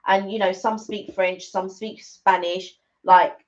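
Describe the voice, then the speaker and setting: Female co-host for a casual livestream, chatty and responsive, mid-pitched. Low-fidelity, slightly muffled recording. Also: level tone, slow pace. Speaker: live stream co-host. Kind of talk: casual chat. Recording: dull, lo-fi livestream audio.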